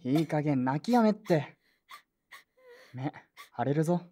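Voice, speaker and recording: A woman's voice: low voice, lively intonation, a little slow, dark tone. A woman playing an earnest, polite teenage boy in a Japanese audio drama. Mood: consoling. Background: background music.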